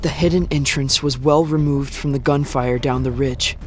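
A man's voice: mid-range voice, steady tone, normal speed, very noisy, lightly acted. A young man playing an anxious apocalypse survivor with a wolf companion in an audio drama. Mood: grave, reflective. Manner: breathless muttering to himself, reflective when narrating. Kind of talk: audio drama acting, first-person narration.

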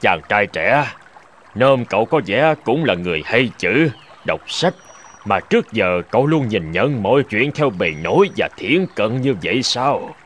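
An actor playing a calm old hermit in a cartoon folk tale, speaking in a measured, lecturing way. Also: male voice, on the low side, slight background noise. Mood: calm, reproving. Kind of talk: animated folk tale voice acting.

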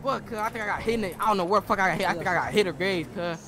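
A man's voice: high-pitched, very fast. A man role-playing in an online game, talking in agitated, repetitive street slang. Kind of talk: gaming role-play chatter in street slang. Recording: clearly noisy game voice chat with engine sounds.

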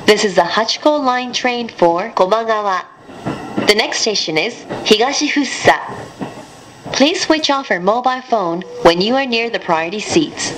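A woman reading formal, carefully measured automated announcements over a train's speaker. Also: animated. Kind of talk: scripted public transit announcement. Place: inside a moving train carriage. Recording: loud train rumble over onboard speaker. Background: train running noise.

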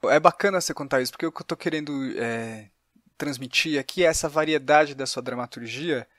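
A man's voice: a little high, brisk, a bit bright, dry recording, clean studio sound. A man with a Brazilian accent hosting a conversational podcast interview, building long, exploratory questions.

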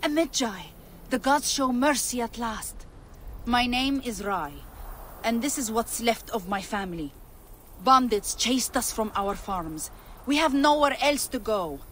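A bereaved farm woman driven from home. Her fairly high voice is earnest and resolute, carrying grief and a wish for vengeance over ambient noise. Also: animated, unhurried, a bit bright. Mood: grieving, pleading.

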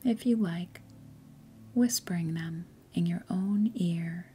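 A woman leading a guided meditation in a soft, breathy, gentle and soothing way, with unhurried pauses. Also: lowish voice. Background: faint music.

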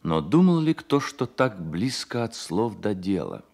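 An actor playing a wistful, gentle 19th-century poet in a radio play, brooding and declamatory. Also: male voice, fairly low pitch, big pitch swings, a little slow, creaky, exaggerated voice. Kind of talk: Soviet radio play acting.

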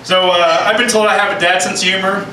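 A fairly high-voiced young man telling deadpan puns on a small club stage. His handheld mic is caught by a far-off camera, so the sound is roomy and hollow. A steady hum of background noise sits under the voice. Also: fast talker. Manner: deadpan punning, sings snatches of songs. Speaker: stand-up comedian and interview guest. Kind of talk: stand-up set with puns, then casual interview. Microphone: handheld mic, filmed by a far camera.

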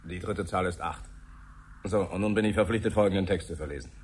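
An actor playing a flippant, offhand official in a 1970s German radio drama. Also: male voice, low voice, quick pace, natural voice. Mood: flippant, rattling off. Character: flippant, bored male official rattling off regulations. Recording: faint noise on 1970s radio recording.

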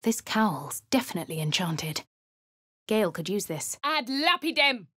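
A woman voicing a posh young female adventurer with an upper-class English accent, delivering crisp game lines and narration. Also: mid-range voice, big pitch swings, normal speed, no echo. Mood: commanding. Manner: crisp refined delivery, shouts spell incantations. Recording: dry studio voice booth.

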